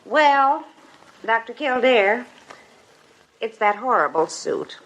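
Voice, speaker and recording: An actress playing a fussy, excitable, bossy female medical assistant in 1950s radio drama style, fluttery and emphatic. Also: on the high side, takes it slowly, dull audio, a little hiss or hum. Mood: fussy indignation.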